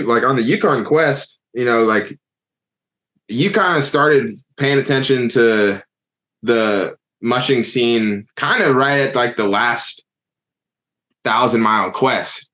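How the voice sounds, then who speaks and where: A man hosting a casual podcast, loose and excited, with lots of likes and you-knows. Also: mid-range voice. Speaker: podcast host.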